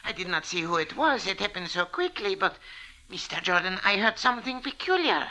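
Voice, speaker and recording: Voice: medium pitch, very animated, brisk, pushed and bright, rough recording. An actor playing a frightened, timid cafe servant in an old-time radio mystery, speaking formally. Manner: timid, formal, uncontracted speech.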